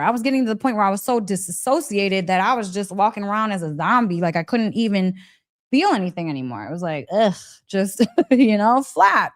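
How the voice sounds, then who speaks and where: A woman giving a casual, motivational wellness talk as a live coach, conversational and emphatic, often checking in with her listeners. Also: mid-range voice. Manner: conversational, emphatic, frequent check-in tags, breaks into laughter.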